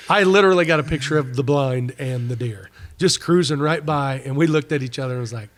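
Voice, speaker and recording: A man's voice: mid-range voice, brisk. A middle-aged man, congested from a recent illness, co-hosting a hunting podcast in a rambling, folksy way with plenty of slang and restarts. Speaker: podcast co-host. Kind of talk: casual chat.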